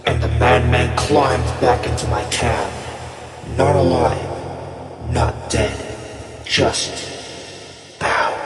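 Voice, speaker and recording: A man playing a haunted old steam engine's ghost in a dramatic horror monologue, grave and foreboding, with deliberate theatrical pauses. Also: mid-range voice. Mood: ominous, solemn. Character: haunted old ghost steam locomotive, male, ominous and brooding. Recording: roomy long reverb, distant, music behind.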